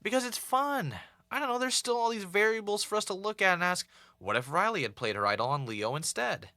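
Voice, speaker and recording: A man acting as a wry, uneasy podcast host in an audio drama, with a casual, halting, conversational delivery. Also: high voice, animated, pushed and bright, no echo, full character voice. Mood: chatty, teasing. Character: Ray, wry, uneasy male podcaster investigating his own death.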